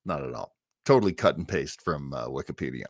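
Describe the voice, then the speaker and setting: A man's voice: a bass voice, expressive, brisk, vocal fry, no echo, clean studio sound. A man hosting an old-time radio livestream, chatting casually and enthusiastically in a rambling way.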